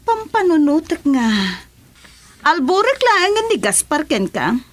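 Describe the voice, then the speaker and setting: An actress playing a dramatic, animated woman who confides in a gang boss, in a radio serial drama. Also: very high-pitched, unhurried, exaggerated voice. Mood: animated, coy.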